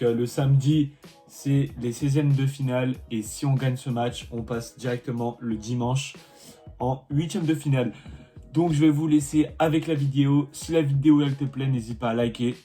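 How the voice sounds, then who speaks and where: A young man, a vlogger and player, chatting casually and talking straight to his viewers. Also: medium pitch. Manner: relaxed, talks straight to viewers. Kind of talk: casual vlog chat.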